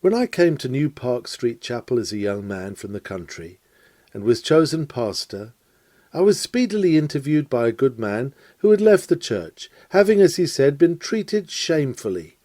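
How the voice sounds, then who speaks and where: A man reading an old-fashioned sermon lecture aloud in a measured, rhetorical way with emphatic phrasing. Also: mid-range voice, very animated, mellow, dry recording. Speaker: reader of a recorded sermon lecture. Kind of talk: scripted read-aloud of a Victorian lecture.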